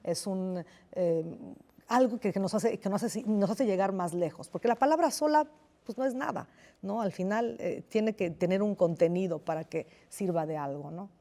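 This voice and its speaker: Una escritora de origen italiano con acento italiano, entrevistada en televisión; habla reflexiva, con titubeos y muchas pausas. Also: female voice, mid-range voice, mellow. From Italy. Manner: thoughtful, hesitant, many restarts and fillers. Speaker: novelist guest. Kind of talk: television interview.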